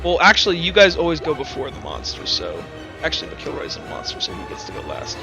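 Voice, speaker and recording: Man, game master, high pitch, bright tone, halting casual narration, tabletop roleplay, faint music behind. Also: huge pitch swings, vocal fry. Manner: halting, thinking aloud while narrating.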